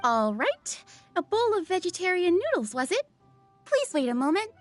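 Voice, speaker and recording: Young waitress, anime game: very high pitch, animated, courteous and brisk. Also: female voice, faint music behind. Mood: polite, businesslike. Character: polite, brisk young female restaurant server. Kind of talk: anime-style video game acting.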